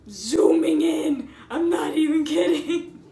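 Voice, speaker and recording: A young woman telling a casual story in an expressive, slangy way, with dramatic emphasis and repetition. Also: high voice, takes it slowly, vocal fry. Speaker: storytime video host. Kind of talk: casual animated storytelling vlog. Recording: echoey room with audible background noise.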